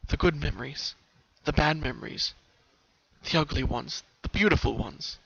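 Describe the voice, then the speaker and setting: A man with a fairly high, lively voice narrates a story dramatically. The tone is bright and forceful, and the recording is dull and muffled. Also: moderate pace, gravelly, husky, dry recording.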